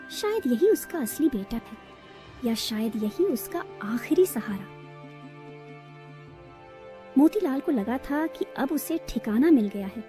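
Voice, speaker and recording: A woman narrates a moral tale in a soft, warm voice pitched a little high. Her delivery is scripted storytelling, laid over faint music.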